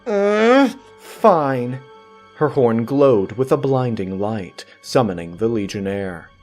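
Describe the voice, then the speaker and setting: A man's voice: on the low side, measured pace, dark tone, faint music behind. A man reading scripted story narration as a storyteller.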